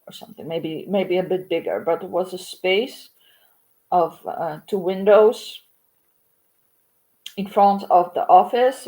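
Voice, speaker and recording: A woman in her forties from Ukraine, speaking English, telling a halting, reflective livestream story about her art. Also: fairly low pitch, warm tone, poor audio. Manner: halting and reflective, many pauses and fillers. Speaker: artist. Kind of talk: livestream monologue.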